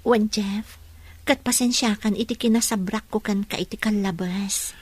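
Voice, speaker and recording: A woman playing a gentle, grateful young wife in an Ilocano radio drama, with an Ilocano accent. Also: medium pitch, fairly even intonation, moderate pace, slightly rough, close to an everyday voice. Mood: tender, grateful.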